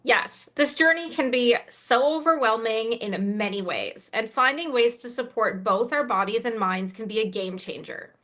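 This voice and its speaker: A woman co-hosting a talk show, putting questions to the guest in a warm, measured way. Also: a little high, lively intonation. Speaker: co-host.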